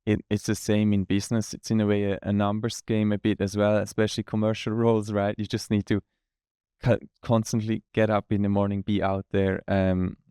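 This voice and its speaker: A man from Switzerland, answering interview questions in a relaxed, hesitant way. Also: lowish voice, brisk, clean tone, dry recording, clean studio sound. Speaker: guest, venture partner.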